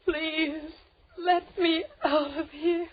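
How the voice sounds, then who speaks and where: A frightened young woman held captive in a vintage radio thriller, with a high voice. She pleads in halting, hysterical bursts. The old recording is muffled and noisy. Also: level tone, full character voice. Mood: hysterical, desperate. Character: terrified young female captive pleading for her life. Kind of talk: 1940s radio horror acting.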